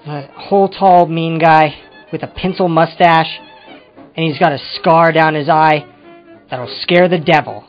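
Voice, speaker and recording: An actor playing an evasive, touchy ex-convict in an old-time crime radio drama, speaking in a hesitant, defensive way. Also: male voice, a little high, unhurried, lightly acted. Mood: nervous confessing. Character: evasive, touchy male ex-convict confessing to a detective. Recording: muffled amateur radio-drama recording with music.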